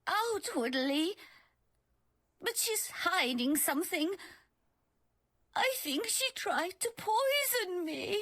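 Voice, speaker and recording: A woman playing a drowsy, ailing, suspicious older gentlewoman in a video game, speaking haltingly and trailing off. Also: very high voice, expressive, exaggerated voice. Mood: accusing. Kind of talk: scripted game voice acting.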